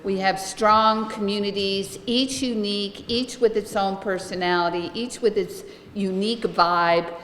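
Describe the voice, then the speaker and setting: A middle-aged woman giving a chatty, lively history lecture to a room of listeners. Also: mid-range voice, a little slow, few pauses, a little hiss or hum. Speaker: guest lecturer, local historian. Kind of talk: public lecture with slides. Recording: echoey room, some reverb.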